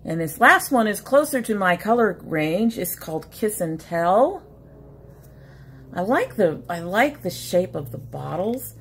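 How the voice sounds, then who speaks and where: A woman's voice: medium pitch, very animated, measured pace, fairly bright tone, slight background noise. A woman in her forties chatting casually through an unboxing, rambling and catching herself mid-sentence, a bit sniffly from allergies, recorded on a webcam in a small room at home. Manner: chatty, rambling, self-interrupting. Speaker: beauty vlogger. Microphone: webcam at desk.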